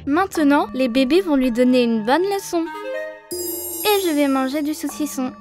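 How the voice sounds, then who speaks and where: A woman voicing a caring, earnest little girl who tells stories and gives gentle lessons in a French children's cartoon dub. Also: high-pitched, expressive, moderate pace. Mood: storytelling. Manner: earnest storytelling. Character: sweet, caring little girl, moralising young cartoon friend. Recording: music behind the voice.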